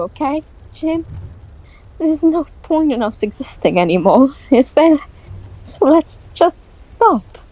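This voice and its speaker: A woman playing a bitter, grief-stricken young nobleman with a halting, intense delivery in an audio drama. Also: sits high, darkish tone, no room sound, poor audio.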